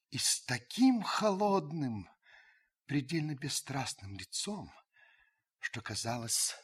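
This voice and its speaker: Watchful older narrator, radio drama, very breathy husky voice, tense edge, solemn, deliberate pauses. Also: male voice, lowish voice, fairly bright tone, exaggerated voice. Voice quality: husky, breathy, sinking to whispers. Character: grave, watchful male householder recalling events as narrator. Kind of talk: dramatic literary narration, old radio play.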